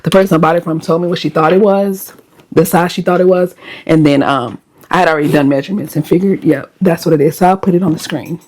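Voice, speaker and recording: A woman vlogger with a hoarse voice, chatting casually and directly to her viewers as she shows what is in her bag. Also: lowish voice, steady tone, fast, a little mellow. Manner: chatty, talks straight to viewers. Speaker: lifestyle vlogger.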